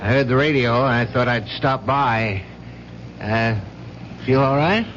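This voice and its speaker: Guy acting polite doctor gently probing in old radio play, average pitch, bit hesitant, muffled sound. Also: measured pace, background noise, natural voice. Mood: friendly, casual. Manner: conversational, hesitant, courteous. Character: polite, concerned male doctor, quietly probing colleague. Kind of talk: radio drama dialogue.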